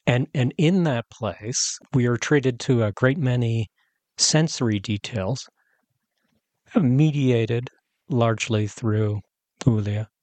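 A man interviewing an author, asking long, measured questions in a formal way with small stumbles. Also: mid-range voice, a little mellow, dry recording.

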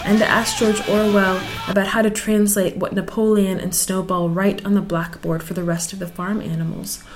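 A woman host reads a scripted introduction at a measured pace. Guitar music plays clearly beneath her voice. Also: mid-range voice, flat delivery, rough recording. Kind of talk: scripted narration. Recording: clear music bed underneath.

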